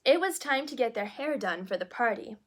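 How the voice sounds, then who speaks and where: A woman's voice: a little high, animated, a little fast, a bit bright, slightly rough. A woman narrating a children's storybook expressively, with little asides to young listeners. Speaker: storyteller reading a children's book aloud in character as a princess.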